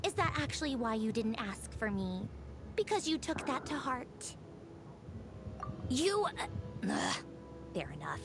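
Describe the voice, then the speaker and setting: A woman's voice: on the high side, big pitch swings, measured pace, vocal fry, pauses often, full character voice. A woman playing a sardonic, easily exasperated engineer commander in a video game, with a dry, teasing manner. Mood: teasing, flustered. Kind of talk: scripted video game voice acting. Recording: music under the voice. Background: game music.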